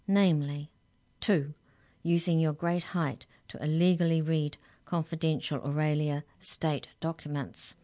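A woman's voice: low-pitched, steady tone, a little slow, dark tone. Woman acting a stern, pompous, emphatic lawwoman. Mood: stern, formal. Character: stern, officious female lawwoman making arrests.

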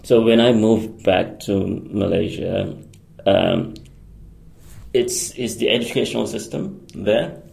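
A man's voice: lowish voice, fairly even intonation, slow, gravelly, a little mellow. A man, a guest answering interview questions with many ums, restarts and frequent laughs. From Singapore. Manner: hesitant, many fillers and restarts; laughs often. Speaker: guest, electronics engineer. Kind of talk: conversational radio interview.

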